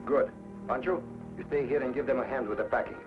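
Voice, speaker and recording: A man plays a heroic cowboy adventurer from a 1950s television Western. He speaks firmly and courteously, with calm self-assurance. The sound is thin and narrow, like a telephone, with faint music underneath. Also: medium pitch, quick pace, natural voice. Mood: firm, cautioning. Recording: tinny, band-limited 1950s TV soundtrack with music.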